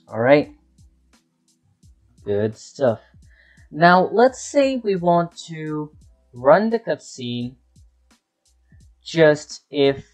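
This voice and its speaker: A man giving an unscripted screen-share tutorial, talking through each step as he works and often repeating himself. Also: a little high. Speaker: tutorial presenter.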